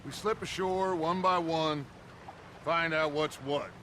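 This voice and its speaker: An actor playing a middle-aged American outlaw gang leader in a video game, grandiloquent and authoritative. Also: male voice, on the high side, takes it slowly, low-quality recording. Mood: urgent, persuasive. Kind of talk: video game drama acting. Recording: game audio with loud ambient noise.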